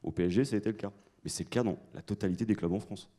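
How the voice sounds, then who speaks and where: Panelist, male, low and mellow, measured emphatic delivery in a lecture hall. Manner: measured, emphatic, polls the audience. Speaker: conference panelist. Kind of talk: explanatory lecture-style talk to an audience.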